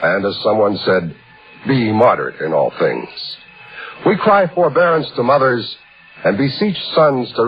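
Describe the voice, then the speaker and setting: A man hosting a vintage radio drama with an ornate, pompous scripted introduction in measured oratory. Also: on the low side, husky. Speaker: radio show host introducing the story. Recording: muffled old radio broadcast, music behind.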